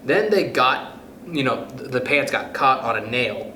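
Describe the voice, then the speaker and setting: A man's voice: medium pitch, expressive, measured pace, bright, forceful tone. A man hosting a children's storytime, reading a picture book aloud expressively and talking directly to young listeners. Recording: roomy echo with faint background noise.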